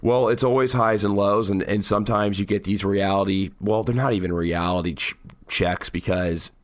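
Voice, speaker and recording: A deep-voiced man, hoarse and raspy because he has the flu, co-hosting a casual podcast chat. He rambles and often restarts his sentences. The sound is dry and close, with no room around it. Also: fairly even intonation, normal speed, dry recording. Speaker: podcast co-host.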